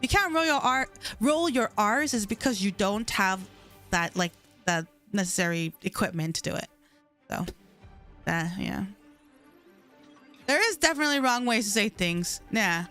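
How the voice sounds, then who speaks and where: A woman's voice: mid-range voice, expressive, moderate pace, long pauses, sharp tone, faint music behind. A woman game streamer with a slight lisp, chatting casually on a live stream while playing, mumbling and stumbling over her words.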